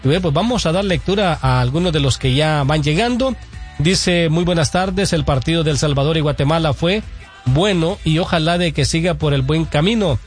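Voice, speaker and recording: A man's voice: on the high side, moderate pace, soft background music. A man hosting a radio sports show, reading listener texts aloud and chatting casually with his co-host. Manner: reads messages aloud, relaxed banter.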